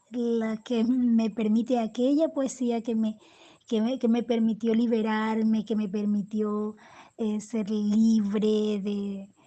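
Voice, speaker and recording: Slow, halting woman poet, soft mellow voice. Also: a little high. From Colombia. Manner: halting, many restarts and repeated words. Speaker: poet and storyteller, interview guest. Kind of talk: reflective interview answers.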